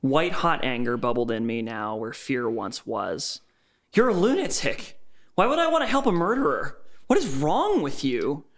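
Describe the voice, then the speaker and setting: A man's voice: mid-range voice, moderate pace, gravelly, hardly any pauses, a bit bright. Man performing a theatrical, sardonic horror-story narrator. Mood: dawning horror. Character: bitter, gleefully sinister tech worker telling his horror story. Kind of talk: dramatic first-person story reading.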